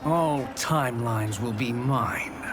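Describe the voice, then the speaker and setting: An actor playing an arrogant sorcerer villain in a video game, measured, smug and menacing. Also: male voice, medium pitch, close to an everyday voice. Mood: cold menace. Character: arrogant male sorcerer villain bent on conquest. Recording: loud music behind.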